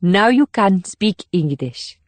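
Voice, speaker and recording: A woman playing a gentle, guarded young woman operative in audiobook dialogue, speaking in a measured, instructive way. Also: medium pitch, expressive, no room sound. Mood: patient, gentle.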